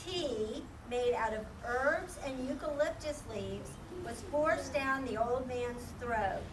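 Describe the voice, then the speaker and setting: In an echoey room, picked up from a distance, a woman narrates a children's puppet show. Her voice is high, and she reads from a script as a storyteller. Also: slow pace, noisy background. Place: live performance in a room, far mic.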